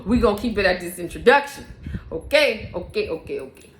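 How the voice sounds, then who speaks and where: A woman's voice: medium pitch, animated, brisk, echoey room, a little hiss or hum. A woman hosting her own faith-based mom podcast, talking casually to camera, chatty and often laughing, repeating herself for emphasis. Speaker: podcast host and mother.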